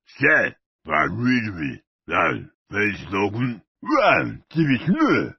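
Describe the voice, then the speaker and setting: A man voicing a goofy, shaggy cartoon game monster, babbling nonsense in a gravelly, husky voice with drawn-out sounds. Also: fairly low pitch, wildly swooping pitch, no echo, exaggerated voice. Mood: mumbling. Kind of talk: cartoon game character voice clips. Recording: muffled, lo-fi game audio clips.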